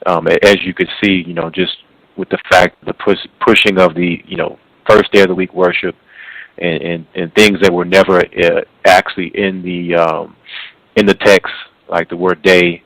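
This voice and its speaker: A man hosting a conversational radio interview, speaking haltingly and often repeating words. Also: deep voice, level tone, a bit bright, no room sound, low-quality recording. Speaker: radio show host.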